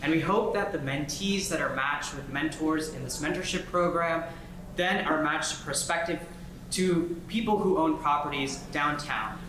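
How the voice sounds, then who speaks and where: Higher-voiced young man in echoey room. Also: moderate pace. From North Carolina, United States. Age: young adult. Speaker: student presenter. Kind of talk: group project presentation. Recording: very echoey room with clear noise.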